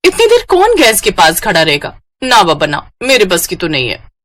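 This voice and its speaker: A woman's voice: lowish voice, huge pitch swings, normal speed, fairly bright tone, no room sound, full character voice. Woman voicing a whiny, lazy young bride. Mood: exasperated, whiny. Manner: complaining, exclaiming, talks to herself. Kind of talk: Hindi moral-story cartoon voice acting.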